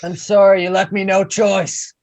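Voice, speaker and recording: A man playing a high-voiced, desperate young underdog. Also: level tone, normal speed, a little mellow, close to an everyday voice. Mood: conflicted pleading. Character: conflicted, desperate young male film crew underdog. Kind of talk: screenplay table read acting.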